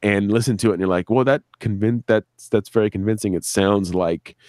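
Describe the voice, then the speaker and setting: Casual male podcast editor, low pitch, close dry sound, loose rambling delivery with repeated phrases. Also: steady tone, brisk, a little mellow, no echo. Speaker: sound designer and editor for an audio drama. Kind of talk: casual conversation on a live stream.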